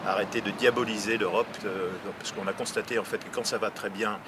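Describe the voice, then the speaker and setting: An older man, a local representative, answers interview questions off the cuff, earnestly and haltingly, often repeating words. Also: lowish voice, fairly even intonation. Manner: earnest, hesitant, with frequent word repetitions. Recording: noisy outdoor recording with traffic ambience.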